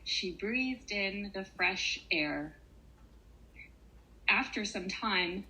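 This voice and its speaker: Slow, bright-voiced woman reading to children. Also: mid-range voice, low-quality recording. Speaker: children's author reading her own story. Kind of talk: storybook narration for children.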